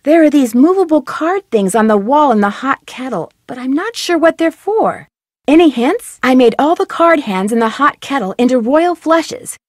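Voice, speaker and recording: A woman's voice: high-pitched, dry recording, clean studio sound. An actress voicing a curious, persistent young female detective in a mystery game, asking direct, friendly questions. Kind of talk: game voice acting, studio lines. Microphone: close studio mic.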